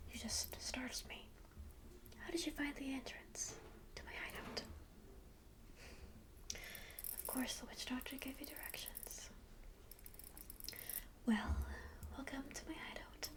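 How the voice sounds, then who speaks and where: Kindly kit spellcaster played by a woman, high-pitched and whispered, gentle, rambling, welcoming, for fantasy ASMR roleplay. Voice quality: soft, breathy whisper. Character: kindly, chatty cat-like kit spellcaster, musical healer.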